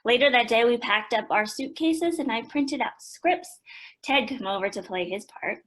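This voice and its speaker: A woman's voice: fairly high pitch, moderate pace, fairly bright tone. An actress playing a spirited young woman who narrates family life in a radio play. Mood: storytelling.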